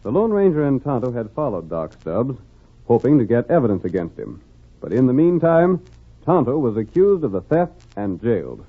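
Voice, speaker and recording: A man narrating a radio serial in dramatic 1940s storytelling style, with a husky voice. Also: mid-range voice, huge pitch swings, moderate pace, full character voice. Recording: dull vintage radio recording.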